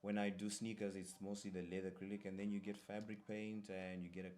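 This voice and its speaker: A young man from Zimbabwe speaks as an interview guest in a very low, deep voice. He talks in a soft-spoken, relaxed, easygoing way. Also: fast. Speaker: guest artist. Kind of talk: casual interview.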